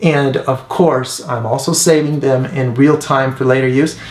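A man in his thirties talking casually to camera as an instructor, earnest and conversational, pausing to find his words. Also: mid-range voice, steady tone, darkish tone. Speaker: host, mural painter and instructor. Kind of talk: casual talk to camera, answering viewer comments. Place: indoors, room with painted mural wall. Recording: echoey, roomy sound.

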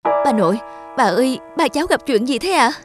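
A woman's voice: high voice, expressive, fairly quick, faint music behind. A woman playing an earnest, tender-hearted daughter in a cartoon drama, speaking with pleading emotion. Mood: anxious pleading. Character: earnest, tender-hearted daughter longing for her lost father.

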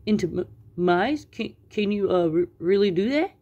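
A woman's voice: sits low, big pitch swings, moderate pace, darkish tone, muffled recording, heavily acted. A woman voicing a blustering, easily scared male cat in a children's storybook, stammering and exclaiming. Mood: nervous.

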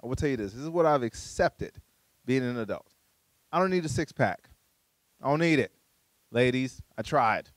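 A man in his forties doing a stand-up comedy set on a small studio stage with a handheld mic, talking conversationally with playful asides and high-pitched voices for punchlines. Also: expressive, normal speed, pauses often, dry recording, rough recording. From Chicago, USA. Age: 40s.

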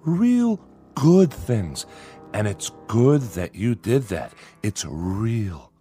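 An actor playing a nervous American farmer father in a radio drama, folksy and halting, forcing cheerful agreement to placate his son. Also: fairly low pitch, very animated, mellow, soft background music, full character voice. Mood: anxious false cheer.

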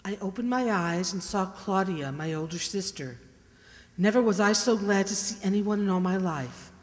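A woman playing a frightened, haunted wife turning undead in a radio mystery play, with a halting, fretful delivery. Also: mid-range voice, animated, lightly acted. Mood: haunted, confiding. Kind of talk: old-time radio mystery acting. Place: stage in a community hall, stand microphone. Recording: echoey hall, music underneath.

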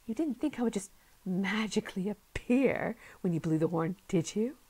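A woman's voice: medium pitch, lively intonation, normal speed, darkish tone, breathy edge. A woman voicing a chatty little boy who rambles in a matter-of-fact way.

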